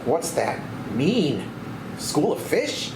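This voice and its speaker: A man playing a brisk, easily astonished Coast Guard captain in a parody drama. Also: on the high side, very animated, creaky, full character voice. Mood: brisk, official. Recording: echoey room with background noise.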